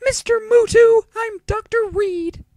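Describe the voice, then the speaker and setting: Man putting on a shrill falsetto woman doctor. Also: unhurried, darkish tone, no echo, studio quality. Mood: businesslike. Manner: urgent, formal. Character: hurried female hospital doctor, businesslike and reassuring.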